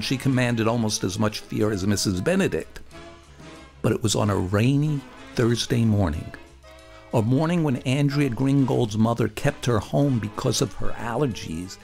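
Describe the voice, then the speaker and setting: A man's voice: medium pitch, vocal fry. A man narrating a scripted first-person memoir like a radio storyteller, theatrically, drawing out words for emphasis. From Brooklyn, New York, United States.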